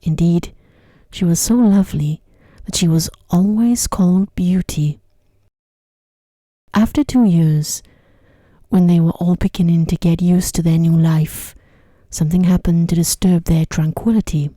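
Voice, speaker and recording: A woman narrates a bedtime fairy tale in a hushed ASMR manner. Her voice is somewhat low and extremely dark and relaxed, easing into breathy near-whispers. The sound is dry and close, with an unhurried storyteller's delivery. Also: no echo. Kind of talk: ASMR bedtime story reading, soft-spoken. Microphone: close microphone.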